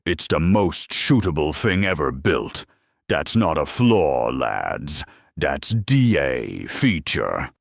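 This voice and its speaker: A man voicing a huge, gruff, cocky ork warboss with a gravelly voice and rough slang, in an audio drama. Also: very deep voice, very animated, takes it slowly, pitched above the performer's own voice, heavily acted. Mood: boastful rallying. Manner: rough cockney-style dropped-letter slang.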